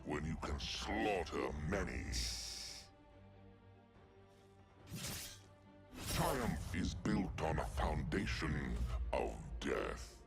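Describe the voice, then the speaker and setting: An actor playing an ancient, bloodthirsty living weapon in a video game, gravelly and menacing, with grandiose, mocking, boastful declarations. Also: male voice, very deep voice, lively intonation, full character voice. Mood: cold, menacing. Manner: grandiose, theatrical, slow gloating declarations. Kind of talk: video game character voice lines. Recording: loud music under game voice clips.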